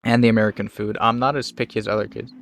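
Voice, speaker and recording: A man's voice: lowish voice, flat delivery, racing, creaky. A young man chatting casually and easily with a friend, laughing often. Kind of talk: casual chat.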